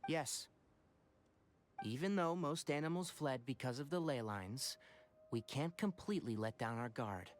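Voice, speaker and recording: A man voicing a young, knowledgeable forest ranger in a video game, practical and gently wry, explaining things in an articulate, matter-of-fact way. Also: medium pitch, expressive, measured pace, a little hiss or hum, lightly acted. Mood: calm, practical. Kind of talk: animated video game voice acting.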